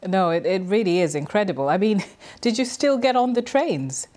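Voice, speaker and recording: A woman news anchor presenting the news live and interviewing a guest in a measured, polished way. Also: mid-range voice, lively intonation, a little mellow.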